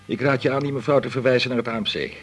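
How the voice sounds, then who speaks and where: An actor playing a frank, teasing male medical colleague in a radio drama, blunt and informal, with a gravelly, husky voice. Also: medium pitch, fairly even intonation, rapid-fire, soft background music, natural voice. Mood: matter-of-fact. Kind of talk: naturalistic Dutch radio drama acting.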